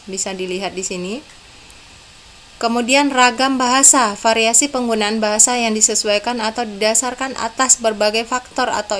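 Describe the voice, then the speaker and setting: A young woman teaches a recorded university class, moving calmly from one slide to the next and often checking in with a gentle "ya". Her voice sits at an ordinary middle pitch. A faint room hum runs underneath, as from a phone or laptop mic. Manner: explains in a calm, step-by-step way, often saying "ya". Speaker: lecturer. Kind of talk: recorded lecture over slides.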